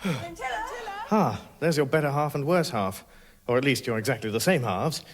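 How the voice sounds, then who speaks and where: A man's voice: medium pitch, big pitch swings, normal speed, soft background music. A man with a British accent playing a bewildered, exasperated ordinary Englishman in a radio comedy, fussy and sardonic. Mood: flustered, exasperated. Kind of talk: radio comedy drama acting.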